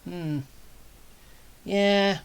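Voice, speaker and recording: A game streamer thinks aloud in casual, rambling commentary. The voice sits at a middling pitch and moves slowly, often slipping into muttering to itself. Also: steady tone, vocal fry, smooth. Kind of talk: casual gameplay commentary, talking to self.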